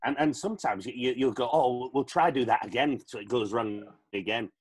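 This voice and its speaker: A man's voice: mid-range voice, a little fast, husky. A man, talking as a podcast guest in a casual, agreeable, chatty way. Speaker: podcast guest, pantomime performer. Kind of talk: casual chat.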